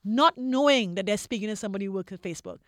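Female interview guest from Trinidad, mid-pitched, articulate and emphatic executive explainer, recorded dry. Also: racing, fairly bright tone, no echo.

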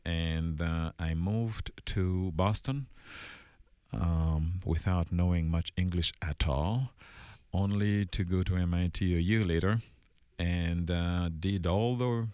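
A middle-aged man from Haiti, a guest in an interview, telling his story in a measured, reflective way with many pauses and fillers. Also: low voice, fairly even intonation, darkish tone, smooth, no echo. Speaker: engineering dean, guest.

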